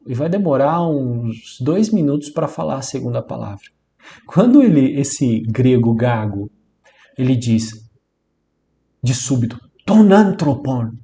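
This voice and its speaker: Mellow-voiced Brazilian male language teacher. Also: a little high. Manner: didactic, repeats and emphasizes key points. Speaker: Biblical Greek teacher. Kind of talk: informal instructional lecture.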